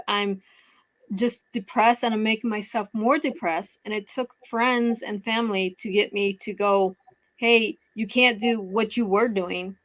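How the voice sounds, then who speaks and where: A woman's voice: medium pitch, low-quality recording. A woman in her thirties from the United States, a guest in an interview, speaking candidly and reflectively about hard times she has lived through. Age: 30s. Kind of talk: personal interview.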